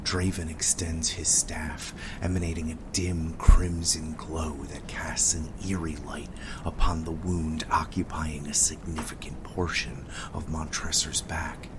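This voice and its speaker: A man's voice: a bass voice, level tone, measured pace, background noise. A man narrating scripted gothic horror with grave, ornate storytelling. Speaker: narrator, game master.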